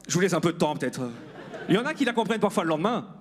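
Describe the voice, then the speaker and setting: Quick-talking male stand-up comedian in his forties. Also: sits high, lively intonation. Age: 40s. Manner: self-deprecating, addressing the audience directly. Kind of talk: stand-up comedy monologue to an audience. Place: live comedy club stage.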